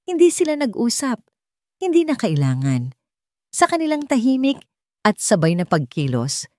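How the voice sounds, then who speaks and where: A woman's voice: low-pitched, dark tone, no room sound, clean studio sound. A woman with a Filipino accent reading a dramatic story as an audiobook narrator.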